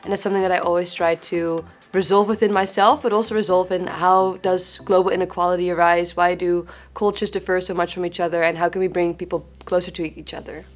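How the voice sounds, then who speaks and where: A young woman from the Netherlands in her twenties, a graduate student speaking in a thoughtful, measured way in an interview testimonial. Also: fairly low pitch, flat delivery.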